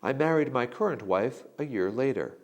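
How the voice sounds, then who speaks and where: Man, instructor, scripted narration, animated pitch, deliberate delivery. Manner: slow, deliberate model answers. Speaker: instructor giving sample answers.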